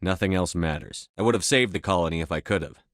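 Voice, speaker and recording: A man's voice: deep voice, fast, a bit bright, dry recording, studio quality. A man playing a resolute, blunt military commander in a science fiction video game, speaking firmly and tersely with authority. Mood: determined. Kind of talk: scripted game dialogue.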